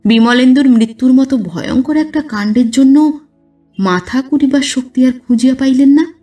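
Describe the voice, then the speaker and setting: A woman narrates a classic story in a fairly high voice. Her delivery is measured yet expressive, shaped as scripted literary storytelling. She sounds a little away from the microphone, with music playing behind her. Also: recorded from a distance. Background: background music.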